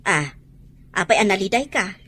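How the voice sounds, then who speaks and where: An actress with an Ilocano accent playing a nosy, excitable village woman in a radio comedy drama, exclaiming theatrically. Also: medium pitch, moderate pace, husky. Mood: emotional.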